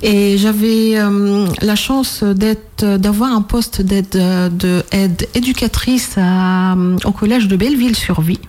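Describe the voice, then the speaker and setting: Woman, 40s, Palestinian accent, mid pitch, hesitant, many fillers, radio interview guest. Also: fairly even intonation, slow pace, hardly any pauses, darkish tone. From Palestine, near Jerusalem. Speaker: guest, language trainer.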